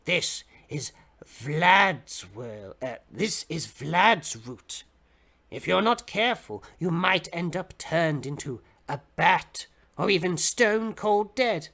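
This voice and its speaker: A man's voice: a little high, expressive, bright, forceful tone, full character voice. Man putting on an eerie, intense old drifter. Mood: ominous warning. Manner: slow, conspiratorial, ominous.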